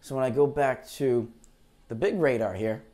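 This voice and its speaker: A man with a tired, low voice after heavy talking, hosting a live weather stream in a chatty, rambling way, talking into a lavalier mic. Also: fairly even intonation, moderate pace, warm tone. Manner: chatty, rambling, unsure asides. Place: green screen studio, indoors. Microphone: lavalier clip mic.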